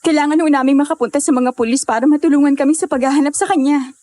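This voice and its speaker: A woman's voice: high voice, fairly even intonation, racing, warm tone, no echo, natural voice. A woman playing an anxious, earnest young hiker in an audio drama, fretting over a friend. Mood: worried, urgent.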